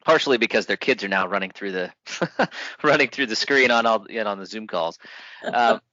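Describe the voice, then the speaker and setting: A man hosting a podcast interview, with a very low voice and a bright, forceful edge. He talks in a thoughtful, conversational way. The recording is dry and a little muffled. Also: expressive, moderate pace, no room sound. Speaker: podcast host.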